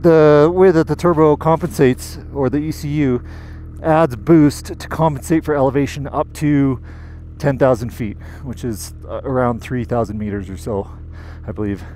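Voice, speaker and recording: A man's voice: mid-range voice, steady tone, moderate pace, mellow. Enthusiastic man riding a snowmobile. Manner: enthusiastic, explaining while riding. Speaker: magazine editor reviewing a snowmobile. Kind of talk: casual ride-along commentary. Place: outdoors in deep snowy forest on a snowmobile. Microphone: helmet-mounted action camera. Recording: engine and wind noise.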